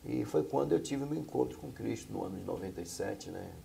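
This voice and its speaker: A middle-aged man from Bahia speaks in a very low, gravelly voice with a dark, mellow tone. He earnestly recounts his past, often ending phrases with little tag questions. A faint hum of studio ambience sits behind him. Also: lively intonation, a little fast. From Bahia, Brazil. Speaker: pastor and singer, guest. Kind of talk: telling his life story in a studio interview. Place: small TV studio.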